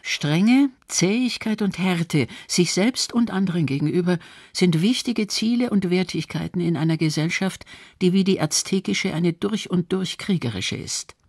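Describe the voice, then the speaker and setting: A narrator reading a scripted history documentary in a measured storytelling register. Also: medium pitch, darkish tone, no room sound, studio quality.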